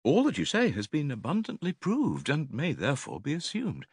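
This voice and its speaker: An attentive, husky-voiced philosophy pupil. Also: male voice, fairly high pitch, wildly swooping pitch, normal speed, no echo, clean studio sound, full character voice. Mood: attentive, deferential. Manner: deferential, formal, succinct. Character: agreeable, attentive male pupil in philosophical debate. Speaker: audiobook actor reading philosophical dialogue. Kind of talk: dramatised classical dialogue reading.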